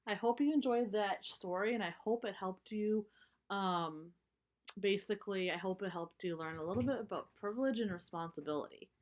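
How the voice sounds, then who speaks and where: Woman reading a picture book to kids, medium pitch, stops to explain stuff in simple words. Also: normal speed, a little mellow. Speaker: children's story reader. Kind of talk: read-aloud storytelling with simple explanations for children.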